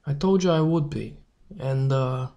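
A woman's voice: extremely low pitch, a little slow, dark tone, pitched below the performer's own voice. A woman voicing a tough, streetwise young newsboy leader in an audiobook story, slangy, emphatic and protective. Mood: reassuring.

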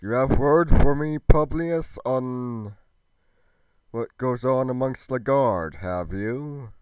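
A man voicing a haughty, suspicious ruler in a game roleplay, speaking in formal, measured, imperious old-fashioned phrases. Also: medium pitch, clear, resonant tone, no echo, lightly acted. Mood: cold suspicion. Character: haughty, suspicious male ruler questioning his informant. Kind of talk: amateur game roleplay drama.

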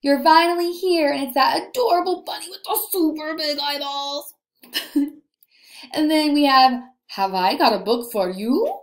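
Young woman, high voice, kindergarten teacher, encouraging and animated, simple questions, echoey room. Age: young adult. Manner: animated, encouraging, asks questions and pauses for answers. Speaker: early elementary teacher. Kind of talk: read-aloud video lesson for young children.

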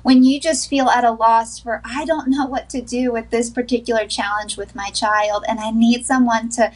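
A woman in her thirties with a slightly high voice talks as a therapist guest on a morning show. She sounds earnest and upbeat, with small laughs between points. She joins by video call from a small wood-paneled room. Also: steady tone, normal speed, poor audio. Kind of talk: sponsored TV interview, remote.

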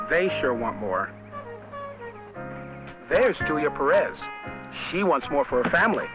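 Lively, high-voiced young American film narrator. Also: male voice, rough recording. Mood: folksy, upbeat. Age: young adult. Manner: folksy, chatty, addressing the viewer. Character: cheerful young college student guiding viewers round his street. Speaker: on-camera narrator. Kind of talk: scripted educational film narration. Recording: 1970s educational film soundtrack with music.

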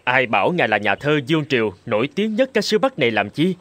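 An actor playing a deferential, flattering household steward in an animated folk tale. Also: male voice, mid-range voice, fast, no echo. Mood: eager, flattering.

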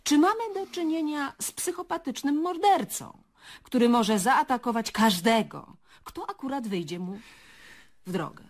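An actress playing a pushy, defiant journalist in a radio drama, deliberate, cool and ironic. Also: female voice, high voice, lively intonation, heavily acted. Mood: dramatic, insistent. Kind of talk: Polish radio drama acting.

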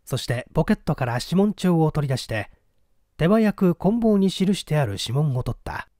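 Mellow, expressive male story narrator. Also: fairly high pitch, normal speed, no echo, clean studio sound. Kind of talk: dramatic scripted story narration.

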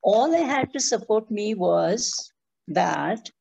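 A woman in her seventies from India, a Tamil speaker, reading a prepared conference talk in a measured, deliberate way. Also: mid-range voice, expressive, vocal fry, a little mellow, husky, poor audio. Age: 70s.

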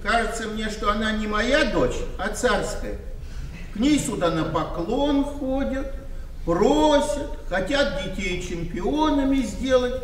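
A man's voice: high-pitched, animated, takes it slowly, roomy sound, in a large hall, far from the mic, a little hiss or hum, rough recording. An actor playing a confused, rambling old father from the village in a radio play. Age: older. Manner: rambling, plaintive. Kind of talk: 1990 radio play acting.

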